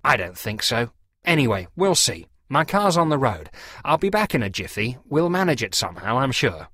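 A man's voice: mid-range voice, very animated, normal speed, a bit bright, breathy edge. A man voicing a sly, over-polite middle-aged dealer posing as a fussy parson in a storybook reading. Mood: apologetic haggling. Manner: over-polite, apologetic, feigning reluctance. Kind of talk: storybook character dialogue.

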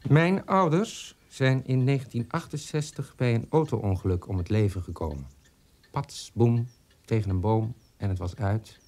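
A man's voice: medium pitch, expressive, normal speed, darkish tone, natural voice. A man's mid-range voice from an actor plays a bitter son full of morbid hate. He delivers a radio drama monologue with grim emphasis. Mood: morbid relish. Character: bitter son, morbidly hateful of his dead parents.

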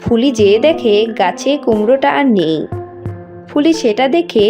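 A woman's voice: a little high, lively intonation, normal speed, music in the background. A woman narrator reading a scripted cartoon story.

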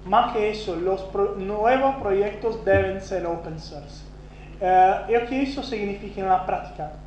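Portuguese-speaking Brazilian man at a conference, high-pitched, self-deprecating, many restarts, echoey room. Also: a little slow, darkish tone. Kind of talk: conference talk. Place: conference hall. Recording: roomy, dull lo-fi sound with faint hum.

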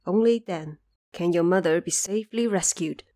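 A woman's voice: lowish voice, moderate pace, mellow, lightly acted. A woman voicing a devoted, anxious noblewoman and mother who instructs earnestly in an audio drama.

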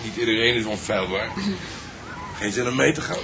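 An actor playing a dry, sardonic, grumbling husband in a Dutch radio drama. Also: male voice, lowish voice, brisk, gravelly, background noise. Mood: irritated.

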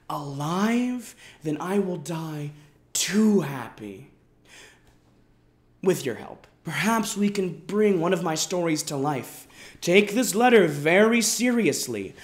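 Pompous, melodramatic man acting an amateur screenwriter. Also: sits high. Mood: wistful, reverent, then urgent, grandiose. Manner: pompous, overwrought, swinging from hushed to shouted, dramatic pauses. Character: self-important, deluded amateur screenwriter pleading earnestly for help. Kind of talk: melodramatic dramatic reading of an email. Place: indoors in a wood-panelled room.

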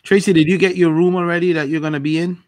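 A man's voice: on the high side, fairly even intonation, quick pace, no echo. A man from Queens, a guest on a live video chat, talking emphatically with many "like"s. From Queens, New York. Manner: emphatic, lots of "like", drawn out.

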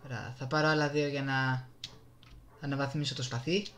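A man with a Greek accent doing casual live gameplay commentary, excitable, exclaiming and muttering to himself into a desktop gaming microphone. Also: mid-range voice, a little hiss or hum. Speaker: gaming YouTuber.

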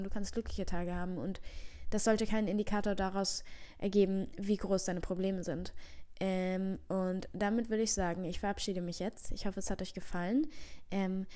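A woman's voice: medium pitch, a little mellow, clean tone. A young woman in her twenties, a vlogger with a slight cold, talking earnestly into a handheld mic at home, rambling and reassuring with many fillers. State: has a cold. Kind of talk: casual heartfelt advice monologue. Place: living room at home, seated in armchair. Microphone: handheld microphone.

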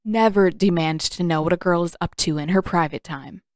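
A woman's voice: lowish voice, moderate pace, no echo, studio quality, close to an everyday voice. A woman voicing a sardonic, brash young female bounty hunter, mocking and flippant, in an audiobook. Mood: smug. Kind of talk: audiobook character voice.

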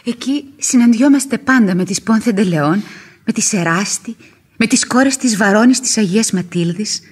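An actress playing a snobbish, genteel spinster in a radio play, affected and boastful. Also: female voice, mid-range voice, slightly rough, lightly acted. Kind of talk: radio theatre acting in Greek.

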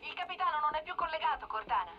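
A woman playing a cool, capable military dropship pilot in a dubbed sci-fi game, speaking in crisp radio procedure through a transmission filter. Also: sits high, lively intonation, fast talker, highs cut off, a little hiss or hum. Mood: focused, businesslike.

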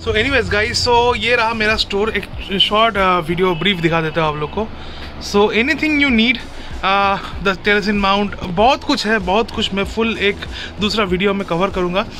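A man's voice: high-pitched, a little slow, background noise. A young man with an Indian accent giving a casual, informal product demo, mixing Hindi and English. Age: young adult. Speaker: motovlogger and gear shop owner. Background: music.

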